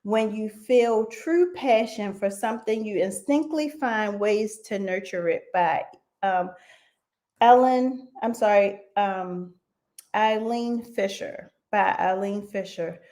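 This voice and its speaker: A woman hosts a radio interview show at an unhurried pace. She talks slowly, with a mid-range pitch and frequent "um"s as she gathers her words. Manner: slow, warm-hearted, with frequent fillers. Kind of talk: live online radio interview.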